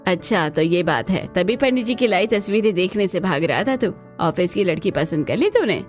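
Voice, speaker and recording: A woman's voice: on the low side, lively intonation, normal speed, faint music behind, natural voice. A woman voicing a demanding, proud middle-aged mother-in-law in a family cartoon, firm and emphatic. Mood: stern.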